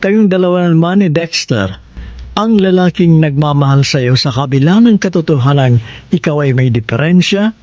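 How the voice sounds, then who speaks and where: Guy narrating a radio drama show, pretty high voice, super expressive, dry muffled sound. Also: no echo. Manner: formal, measured, expressive. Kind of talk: scripted narration. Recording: dry, dull band-limited radio sound.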